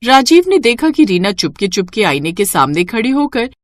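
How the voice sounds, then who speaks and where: Animated woman storyteller, dry recording. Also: a little high, normal speed, no echo. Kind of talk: scripted animated story narration.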